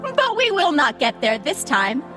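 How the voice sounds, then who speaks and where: A woman's voice: high-pitched, huge pitch swings, measured pace, fairly bright tone, full character voice. A woman playing a cheerfully officious computer program in an audio drama, speaking formally and with unsettling frankness. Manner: formal, contraction-free, cheerfully officious speech. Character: chirpy female computer program greeting the digitized dead, unsettlingly honest. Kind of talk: scripted audio drama acting. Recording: music behind the voice.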